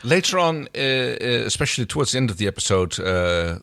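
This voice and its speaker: A man's voice: mid-range voice, very animated, fairly quick, vocal fry, a bit bright, no room sound. A man co-hosting a podcast, casually recapping a TV episode and often searching for his words. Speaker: podcast co-host.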